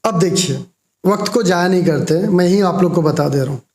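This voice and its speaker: A man's voice: high voice, a little fast, darkish tone, roomy sound. A young Indian man giving informal, emphatic explanatory commentary to viewers as a vlogger. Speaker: vlogger commenting on a call recording.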